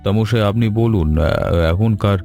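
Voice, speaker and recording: A calm village boss acted by a man from a cartoon tale, kindly and trusting. The voice is lowish and mellow, with a reassuring, patronly way of talking. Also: moderate pace, soft background music, lightly acted. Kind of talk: Bengali animated story dialogue.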